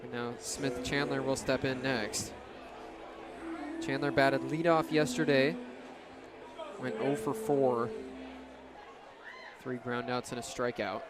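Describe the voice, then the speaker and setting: A man's voice: medium pitch, steady tone, slow pace, long pauses. A young man calling baseball play-by-play for radio in short, descriptive phrases. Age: young adult. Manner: clipped phrases, descriptive calls. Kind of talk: live sports commentary. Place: outdoor baseball stadium. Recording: ballpark crowd and outdoor noise. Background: crowd and ambient noise.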